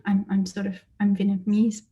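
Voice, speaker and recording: A woman who grew up in Germany, an artist giving a lecture about her exhibition and speaking thoughtfully with frequent pauses and fillers. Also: medium pitch, level tone, warm tone, dull audio. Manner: hesitant, many fillers and restarts, thoughtful. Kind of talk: lecture presentation. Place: lecture or online presentation.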